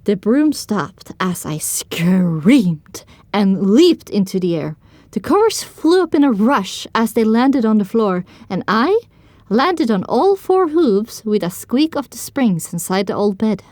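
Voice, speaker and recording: A woman's voice: mid-range voice, sing-song, slow pace, a little mellow, no echo. A woman narrating an audio drama in the first person as a sensitive young pony filly, in a scripted storytelling style. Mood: anxious.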